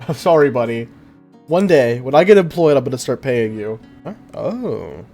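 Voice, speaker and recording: A man's voice: medium pitch, sing-song, moderate pace, creaky, dark tone, soft background music. A young adult man livestreaming in a casual, slangy, profane and self-deprecating way while he chats with viewers. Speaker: livestreamer, host.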